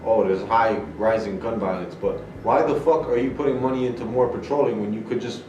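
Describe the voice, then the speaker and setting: A man, co-hosting a podcast, reasoning out loud in a casual debate, restarting phrases with street slang. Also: lowish voice, fairly quick, highs cut off, noisy background. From Canada. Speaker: podcast co-host. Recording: echoey room, mic far off, music behind.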